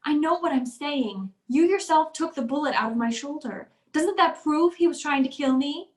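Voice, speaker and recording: A woman's voice: high-pitched, animated, normal speed. A woman playing an anxious, well-to-do wife in an old-time radio drama, pleading and earnest. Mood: frightened, insistent. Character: anxious wealthy wife convinced her husband wants her dead. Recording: roomy, echoey sound.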